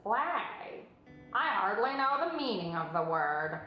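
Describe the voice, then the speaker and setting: A woman's voice: medium pitch, sing-song, slow pace, lo-fi sound, faint music behind. A woman voicing a crafty, sour, gluttonous male rat in a storybook, in a grudging drawl. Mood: sour, sly. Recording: very echoey room with faint noise.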